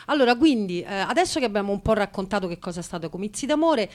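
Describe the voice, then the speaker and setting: A woman hosting an unscripted live radio talk, speaking in a halting, improvised way. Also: medium pitch, expressive, darkish tone. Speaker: radio show host.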